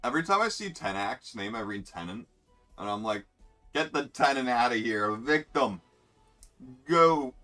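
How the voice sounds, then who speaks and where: A young man rambles through casual game commentary in a bright, forceful voice. His pitch swings widely as he jokes and reads out player names. Soft music plays faintly behind him. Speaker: streamer.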